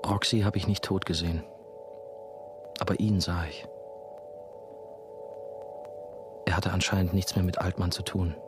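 Man playing a deep-voiced, brooding young storyteller. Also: level tone, a little fast, lightly acted. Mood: subdued. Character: brooding male ex-novice, nursing-home worker, telling his story. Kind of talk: radio drama first-person narration. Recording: music behind the voice.

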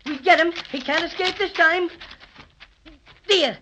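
A woman's voice: extremely high pitch, a little slow, very noisy. A woman playing a plucky, earnest young boy in a 1930s children's radio adventure. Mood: excited, urgent. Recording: muffled old 1930s radio recording.

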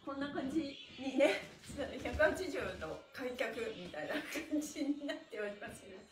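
A woman's voice: sits high, animated, normal speed, over music. A middle-aged woman cheerfully leading a livestream stretching class, encouraging and chatting with viewers, often with laughter in her voice. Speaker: stretching instructor and livestream host. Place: small indoor room with wooden floor. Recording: echoey bare room.

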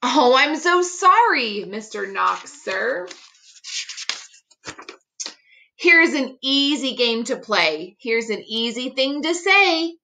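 A woman's voice: high-pitched, very animated, takes it slowly, gravelly, hardly any pauses, bright, forceful tone, a little hiss or hum, heavily acted. A woman voicing a playful, cheerfully bossy male fox from a children's picture book, coaxing in a sing-song way. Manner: coaxing, sing-song tongue-twister delivery.

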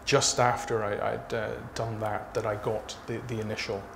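A man in his thirties speaking haltingly as an author being interviewed on stage. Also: fairly low pitch, level tone, creaky. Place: small dark stage before a seated audience. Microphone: lapel mic. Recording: noisy live event room recording.

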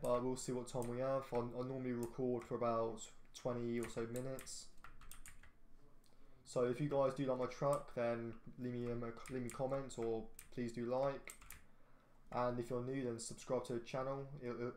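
A man's voice: medium pitch, little pitch movement, normal speed, a little mellow, reverberant, a little hiss or hum. A man gives casual, rambling game commentary from his bedroom, full of fillers and restarts. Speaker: gaming YouTuber. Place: echoey bedroom.

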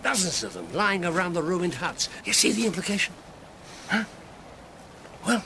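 A brilliant, self-assured English detective in a radio play. His voice sits a little high and swoops widely as he reasons aloud. He is brisk, articulate and quite unrepentant. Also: big pitch swings, pushed and bright, slight background noise, exaggerated voice. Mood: excited, pleased. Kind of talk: radio drama acting.

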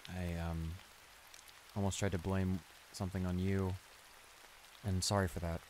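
A man's voice: sits low, a little slow, low-quality recording. A man playing a shy, flustered school bully in an audio roleplay, stammering and hesitant as he apologizes and gets embarrassed. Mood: sheepish, apologetic. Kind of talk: intimate improvised audio roleplay acting. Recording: rain noise behind the voice. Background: steady rain.

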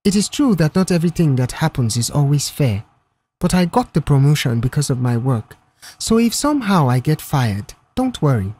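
A storyteller voicing a calm, reserved young man who manages a car workshop, in a measured, matter-of-fact way. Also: medium pitch, husky. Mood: reassuring, firm.

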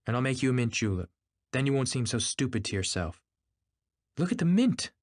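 A man's voice: mid-range voice, big pitch swings, fairly quick, voice pushed up. A man voicing an anxious, fragile wife who can turn imperious, in an audiobook. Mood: commanding. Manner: pleading, helpless. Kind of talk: audiobook character acting.

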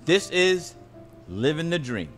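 A man's voice: a little high, very animated, slow, a bit bright, faint music behind, full character voice. A man playing a hyped, showy series announcer delivering a promo in a video game.

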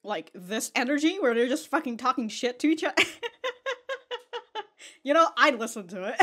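A woman's voice: fairly high pitch, lively intonation, normal speed, sharp tone. A young woman hosting an anime reaction, chatting casually and bluntly, laughing often and swearing now and then, close to a studio mic in a small room. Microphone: studio mic on stand close to the face. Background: faint anime music and audio.

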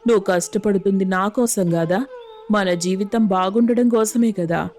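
A woman plays a pious, devoted wife in an animated village tale. Her speech is earnest, colloquial and rustic, at a medium pitch. Light music sits underneath. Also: moderate pace, close to an everyday voice. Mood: affectionate, grateful. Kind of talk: animated moral story voice acting.

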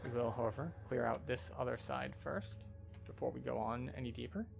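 A man calmly explaining his way through a video game as a tour guide, in a gameplay commentary style. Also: mid-range voice, brisk, vocal fry. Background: game music.

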